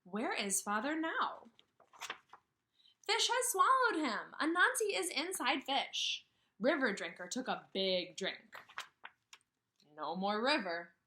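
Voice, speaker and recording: A woman in her thirties speaks as a kindergarten teacher to small children. Her voice is bright and very lively in pitch, at a slow, deliberate pace. She asks questions and leaves room for answers, stressing key words. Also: slight background noise. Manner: animated, asks questions and pauses for answers, slow emphatic phrasing. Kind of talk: read-aloud lesson addressed to young children. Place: small indoor room with brick wall.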